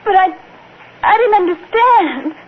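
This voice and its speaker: Anxious high-voiced young woman, vintage radio. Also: expressive, measured pace. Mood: distressed. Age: young adult. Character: anxious, pleading young woman caught in a plot. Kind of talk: 1950s radio drama acting. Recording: tinny, noisy 1950s radio recording.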